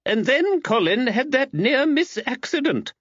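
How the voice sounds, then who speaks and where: A man's voice: very high voice, big pitch swings, measured pace, a bit bright, no echo, voice pushed up, exaggerated voice. A man voicing a prim, nosy village spinster with an indignant, insistent manner in an audiobook, on slightly dull audio.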